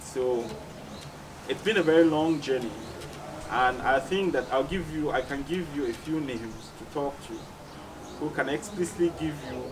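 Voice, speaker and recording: A man with a Cameroonian accent giving reflective, measured interview answers as a musician, often repeating phrases for emphasis. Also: mid-range voice. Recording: distant mic, clearly noisy background ambience.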